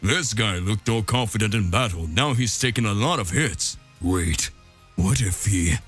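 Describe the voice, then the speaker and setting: A man's voice: fairly low pitch, expressive, a little slow, a bit bright, breathy edge, soft background music, voice pushed down, full character voice. A man voicing a solemn, wary warrior in an anime-style story. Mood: puzzled. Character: solemn, wary male warrior of great resolve. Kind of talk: anime fan-fiction dramatic reading.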